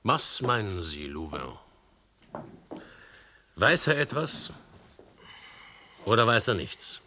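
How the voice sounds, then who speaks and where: An actor playing a measured, politely insistent police commissioner in a radio drama. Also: male voice, on the low side, big pitch swings, bright, forceful tone, slight background noise, full character voice. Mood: cool. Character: calm, probing male police commissioner. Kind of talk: German radio drama acting.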